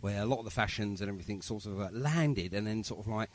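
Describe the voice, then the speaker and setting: A man's voice: fairly low pitch, fast. A man with a London accent hosting a casual podcast interview, bantering and rambling as he interjects. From London, England. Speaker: podcast host. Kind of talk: casual jokey interview chat.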